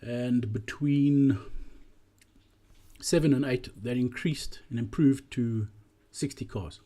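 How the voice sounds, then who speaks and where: A man narrating a walkthrough presentation in a measured, explanatory way. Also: mid-range voice, mellow.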